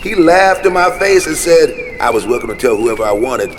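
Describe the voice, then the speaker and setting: A man's voice: medium pitch, very animated, moderate pace, close to an everyday voice. A man playing a paranoid, jaded homeless conspiracy theorist in an audio drama, speaking cryptically and with emphatic intensity. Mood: bitter, recounting. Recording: reverberant space, faint traffic noise.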